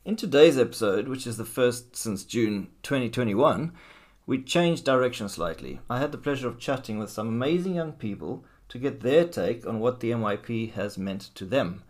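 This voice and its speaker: School podcast host, distant and echoey. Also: male voice, mid-range voice, expressive, normal speed. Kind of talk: hosting an interview podcast. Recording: echoey room, distant mic, noisy.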